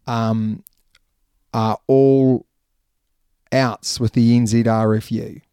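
Podcast co-host, male, New Zealand accent, dark mellow voice, dry close sound, loose rambling delivery. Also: medium pitch, level tone, slow, smooth, dry recording. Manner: chatty, laughs easily, rambling asides. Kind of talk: casual rugby podcast chat.

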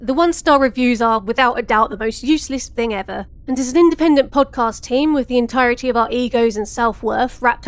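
A woman's voice: fairly high pitch, clean tone, no room sound, close to an everyday voice. A woman playing a jaded, grumpy podcast host in space, sarcastic and world-weary, in a scripted comedy monologue.

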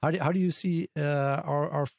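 A man's voice: fairly high pitch, fairly even intonation, moderate pace, creaky, no room sound, studio quality. A man interviewing an author, asking long questions with lots of hesitation. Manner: hesitant, many fillers and restarts. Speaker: podcast interviewer.

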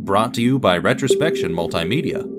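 A man's voice: lowish voice, expressive, a little fast. A man reading scripted material as a radio theatre narrator and announcer, with guitar music behind him. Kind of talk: scripted dramatic story narration.

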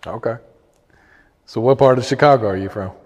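A man's voice: medium pitch, fairly quick, a little mellow. A man asking questions as an interviewer in a casual, conversational way. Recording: long room reverb.

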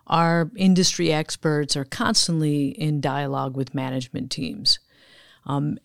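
A woman giving measured, businesslike explanations as an executive guest in a podcast interview. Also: low voice, smooth, no echo, clean studio sound. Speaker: podcast guest, chief investment officer.

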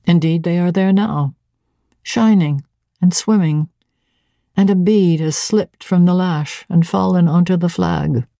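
A woman voicing a brusque, imperious gentleman of the manor who questions and gives orders. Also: on the low side, measured pace, gravelly, dry recording, studio quality. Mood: commanding.

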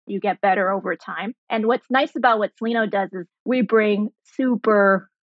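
A woman's voice: mid-range voice, moderate pace, no echo, highs cut off. Articulate woman explaining, close dry sound. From grew up in Germany and Sri Lanka. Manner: articulate, explains with simple analogies. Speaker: guest, biotech founder and laser physicist. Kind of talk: conversational interview explanation and personal storytelling.

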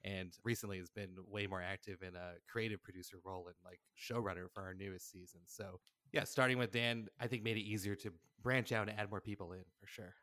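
A man's voice: lowish voice, steady tone, a little fast, sharp tone, no room sound. A man speaking casually as a podcast guest, explaining creative work in a conversational way. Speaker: podcast guest, audio drama writer-director. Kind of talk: casual conversational interview answers.

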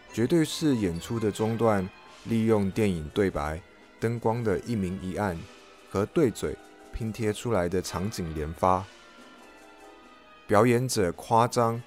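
A man with a low-pitched voice narrates a scripted review in the first person, as the host of an audio log. Faint music plays behind him. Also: a little mellow.

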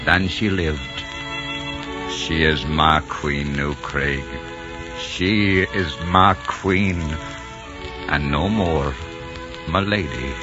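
An actor playing a grieving, devoted Scottish nobleman in a 1940s radio romance, speaking solemnly and tenderly on a dull old recording with music. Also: low-pitched. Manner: solemn, tender, measured delivery.

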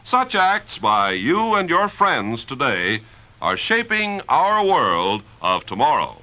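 A man's voice: mid-range voice, huge pitch swings, slow, noisy background. A man reading scripted 1950s radio narration as an announcer, polished, emphatic and formal.

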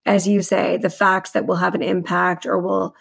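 Creaky-voiced woman explaining business in an interview. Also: fairly low pitch, flat delivery, moderate pace. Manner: articulate, detailed explaining with business jargon. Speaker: chief financial officer, podcast guest.

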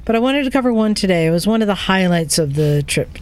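A woman recounts her travels in a casual group chat, sounding tired and jet-lagged. Her mid-pitched voice sits in a slightly noisy garage. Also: lively intonation, fast. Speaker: podcast regular recounting a trip. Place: garage with other people talking. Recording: noisy room around a group microphone.

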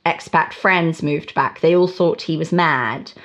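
A woman, a guest chatting in a lively, teasing way. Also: sits low, unhurried. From South Africa. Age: 30s. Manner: lively, laughs easily, teasing. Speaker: guest musician. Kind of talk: casual interview chat. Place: home in Toronto. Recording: dull lo-fi remote audio.

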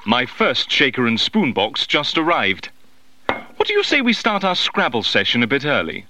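An actor playing an excitable, theatrical man leaving a playful message for a friend in a scripted audio advert. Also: mid-range voice, normal speed, background noise. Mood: gleeful, excited. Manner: theatrical, enthusiastic.

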